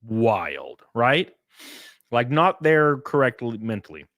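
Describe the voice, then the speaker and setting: A man's voice: medium pitch, big pitch swings, slow, a bit bright, dry recording. A man hosting a stream, giving casual, rambling commentary in blunt, slangy language. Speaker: streamer, host of a reaction stream.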